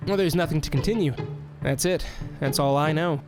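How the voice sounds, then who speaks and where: An actor playing a male mayor who dodges questions with hesitant, smooth talk. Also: a little high, fairly quick, music in the background, close to an everyday voice. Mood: evasive. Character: evasive middle-aged male mayor. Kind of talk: audio drama acting.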